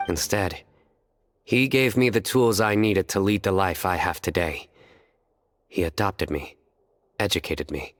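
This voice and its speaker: A man voicing a stern, earnest young officer in a video game, with formal, deadpan delivery, over music. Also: sits low. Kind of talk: scripted game dialogue acting.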